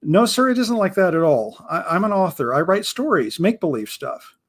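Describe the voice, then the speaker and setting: A man's voice: sits high, big pitch swings, brisk, heavily acted. A man voicing a polite, nervous young male writer who stammers a little. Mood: earnest, nervous.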